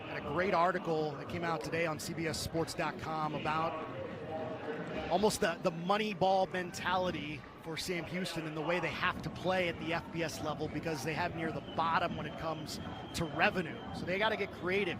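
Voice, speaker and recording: A man's voice: on the high side, fairly even intonation, a little fast, over a noisy crowd. A man calling live football play-by-play as a sports commentator.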